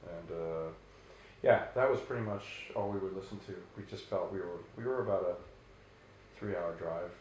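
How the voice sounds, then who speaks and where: A man who sings in a rock band, with a low bass voice, answering questions in a relaxed, rambling way with many restarts. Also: normal speed, very echoey, a little hiss or hum, rough recording. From Canada. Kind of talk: casual interview answers.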